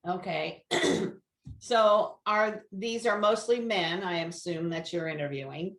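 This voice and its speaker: A woman's voice: on the low side, slow pace, fairly bright tone, roomy sound. A woman hosting a podcast, asking probing questions one after another. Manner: probing, stacks several questions. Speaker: podcast host. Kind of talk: interviewer questions.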